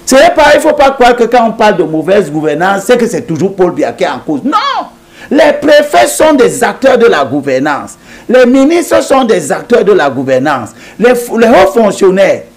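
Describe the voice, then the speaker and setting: A man's voice: high-pitched, big pitch swings, moderate pace. A man, a politician, talking as a guest in a TV interview in an emphatic, lecturing way, repeating points to drive them home.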